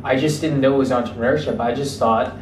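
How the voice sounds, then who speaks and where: A young American man telling his story as an interview guest, relaxed and reflective, with frequent fillers. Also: medium pitch, fast, slight background noise. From United States. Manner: relaxed, reflective, many fillers like "you know". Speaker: guest, entrepreneur. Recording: roomy, distant mic, faint ambience.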